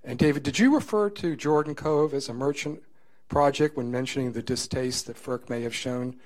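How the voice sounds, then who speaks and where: A man at a conference panel, asking measured follow-up questions of the speakers. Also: medium pitch.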